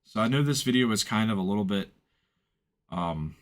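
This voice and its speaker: A man narrating an informal software walkthrough in a plain-spoken, explanatory way, hedging as he goes. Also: lowish voice, expressive, normal speed. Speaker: tutorial presenter and firefighter.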